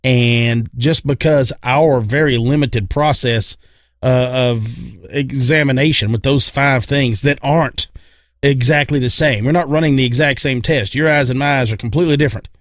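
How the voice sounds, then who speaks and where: Male co-host, mid-pitched, casual and rambling, repeats for emphasis, tight dry sound. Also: normal speed, no room sound. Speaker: podcast co-host. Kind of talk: casual chat, opinion.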